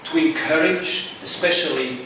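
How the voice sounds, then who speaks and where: A man with a Swiss accent, English not his first language, chairing a conference session in halting, filler-heavy speech. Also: a little high. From Switzerland. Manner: halting, many "uh" fillers, warm and earnest. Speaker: journal editor chairing a session. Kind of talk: conference panel remarks. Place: conference room. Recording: echoey hall, distant mic, noisy.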